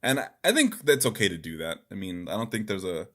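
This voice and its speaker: A man's voice: sits low, sing-song, fast talker, fairly bright tone. A man co-hosting a podcast in loose, rambling, informal chat, with some swearing. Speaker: podcast co-host. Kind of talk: casual gaming podcast chat.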